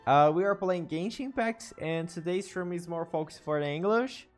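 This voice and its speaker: A man's voice: sits high, moderate pace. A man with a Brazilian accent, a native Portuguese speaker, chatting casually as a game streamer and often repeating words. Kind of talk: casual live stream chat. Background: game music.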